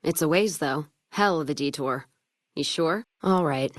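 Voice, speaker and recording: A woman's voice: sits low, unhurried, no room sound, studio quality. A woman playing a hard-drinking, blunt caravan boss from a post-apocalyptic western video game, with a wry frontier way of talking. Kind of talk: video game character dialogue.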